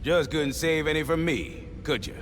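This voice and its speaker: An actor playing a brash, wisecracking human soldier in an action video game, with a cocky, folksy, informal way of talking. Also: male voice, a little high, moderate pace, over loud music, natural voice. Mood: cocky, eager.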